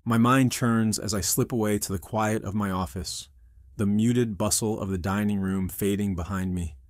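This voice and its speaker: A low, closely recorded male voice acted by a man tells his own story as a troubled veteran turned restaurant boss. The delivery is intense and literary, made for dramatic audio fiction. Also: steady tone, moderate pace, dry recording, clean studio sound, lightly acted. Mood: brooding. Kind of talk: dramatic first-person scripted narration.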